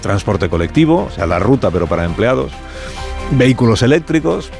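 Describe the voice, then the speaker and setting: A man's voice: lowish voice, sing-song, brisk, creaky, darkish tone, soft background music. A man with a Castilian Spanish accent hosting a morning radio press review, conversational, with wry asides and rhetorical questions. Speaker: radio host. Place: radio studio. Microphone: studio broadcast microphone.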